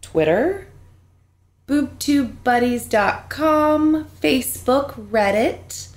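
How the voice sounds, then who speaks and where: A woman's voice: high-pitched, drawn-out words. A woman co-hosting a podcast, chatting casually and laughing easily. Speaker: podcast co-host. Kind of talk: casual chat.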